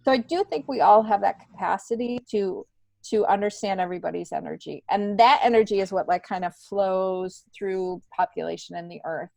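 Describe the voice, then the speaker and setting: A middle-aged woman chats as a guest in a casual conversation. She wanders through her thoughts like a relaxed storyteller, at an average pitch. The sound is dry, with very little room around her. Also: moderate pace, gravelly, dry recording. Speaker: podcast guest, stand-up comedian.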